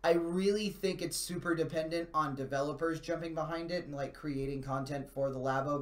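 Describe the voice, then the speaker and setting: A man, co-hosting a gaming live stream in casual, rambling, enthusiastic and slangy chat as he answers viewers. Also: medium pitch. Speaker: co-host of a video game live stream. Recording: echoey room.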